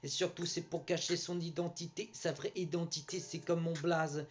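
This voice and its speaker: A man's voice: fairly high pitch, flat delivery, normal speed, muffled recording. A man from Brittany rapping a rambling, repetitive, boastful freestyle over a beat. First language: French. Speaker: rapper. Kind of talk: freestyle rap.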